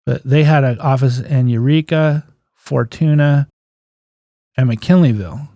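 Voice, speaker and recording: Male, 50s, interview guest. Mid-pitched, mellow delivery, anecdotal and plain-spoken, casual storyteller. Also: a little slow, dry recording, clean studio sound. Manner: anecdotal, acts out remembered dialogue, folksy plain-spoken phrasing. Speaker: guest, physical therapist and clinic owner.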